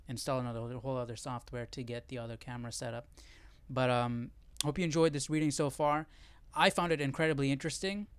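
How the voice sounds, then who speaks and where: A man with a smooth, clear, mid-pitched voice hosts a casual Bible study livestream. He reads aloud and thinks out loud in an informal way. The sound is dry and close. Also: no room sound. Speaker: live Bible study host.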